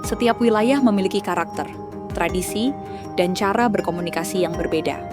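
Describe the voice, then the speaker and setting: A woman reading a scripted documentary narration in a calm, measured way. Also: lowish voice. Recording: music bed underneath.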